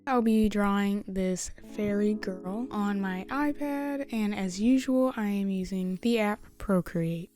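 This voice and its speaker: Young woman, slightly scratchy, casual chatty voice-over, warm tone, soft music behind. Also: mid-range voice, slow, few pauses. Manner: chatty, rambling, laughs often. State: slightly hoarse from singing. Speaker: art YouTuber. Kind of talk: casual voice-over while drawing. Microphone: USB studio microphone.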